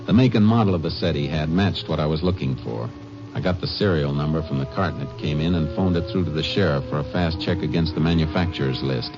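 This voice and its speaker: Low, firm lawman; muffled vintage radio drama recording. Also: quick pace, over music. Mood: grim narration. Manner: clipped, firm, interrogating. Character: steady, hard-pressing male Texas Ranger investigator. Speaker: lead detective and narrator. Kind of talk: 1950s radio drama acting. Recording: muffled old radio broadcast.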